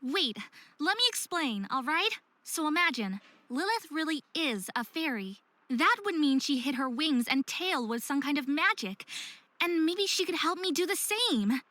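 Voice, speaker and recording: A woman's voice: high-pitched, big pitch swings, a little slow, sharp tone, dry recording, full character voice. A woman playing a troubled, self-hating young half-faerie in a visual novel, with earnest, emotional delivery. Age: young adult.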